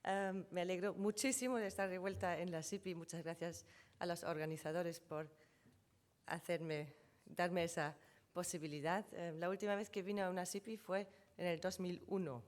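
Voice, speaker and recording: Low-voiced woman giving a conference talk. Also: fairly even intonation, moderate pace. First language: German. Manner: explanatory, self-correcting, walks through figures from slides. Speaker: conference speaker on trademarks. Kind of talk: conference presentation.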